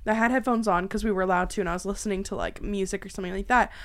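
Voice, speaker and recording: A young Canadian woman hosts in an informal, colloquial chat style. Her voice sits at an average pitch for a woman, and she talks as if among friends. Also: fairly even intonation, racing. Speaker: podcast host. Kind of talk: casual chat.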